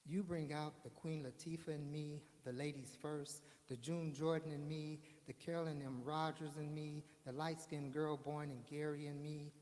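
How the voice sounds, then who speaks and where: A man's voice: fairly high pitch, little pitch movement, normal speed, hardly any pauses, darkish tone. A man, a poet and teacher, performing a rhythmic spoken-word poem on stage at a small live venue. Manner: rhythmic, building recitation. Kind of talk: spoken-word poetry reading at a live show. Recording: live hall with long reverb.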